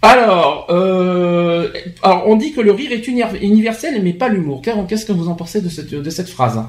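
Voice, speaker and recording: A man with a high voice hosts a casual panel chat, stumbling and repeating words as he goes, and his voice rings around an echoey room. He steers the talk with quick questions. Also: lively intonation. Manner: hesitant, repeats words while searching. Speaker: host. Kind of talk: casual studio discussion.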